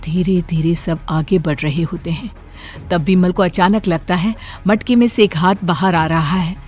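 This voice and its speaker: Woman, story narrator, scripted horror tale, lower pitch, prominent background music. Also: fairly even intonation, unhurried, darkish tone. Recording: clear music bed behind narration.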